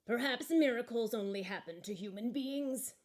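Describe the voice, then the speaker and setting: A woman's voice: fairly high pitch, normal speed, pitched above the performer's own voice, lightly acted. A woman voicing an earnest, polite talking lump of coal with hopes and sorrows, for a children's storybook. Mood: despondent.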